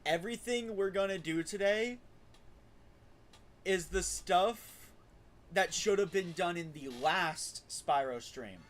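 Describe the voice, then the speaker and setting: Higher-voiced man hosting a stream, halting delivery. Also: a bit bright. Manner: halting, stumbling and repeating words. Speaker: game streamer, host. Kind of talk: casual livestream chat. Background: faint game music.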